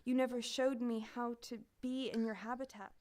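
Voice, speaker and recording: A woman's voice: on the high side, fairly even intonation, moderate pace, darkish tone. A woman reading aloud in a spoken-word poetry recital. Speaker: poetry reader.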